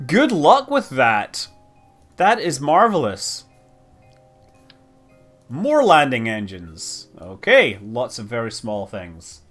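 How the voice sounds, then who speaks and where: Slow-paced man muttering with swooping pitch. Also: big pitch swings, fairly bright tone, faint music behind. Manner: rambling, muttering to himself, exclaiming over details. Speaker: streamer playing a space building game. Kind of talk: casual live stream commentary, thinking aloud.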